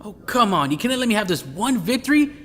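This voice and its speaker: A man playing an eager, excitable police detective in an audio drama. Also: high voice, fast talker. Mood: excited, insistent.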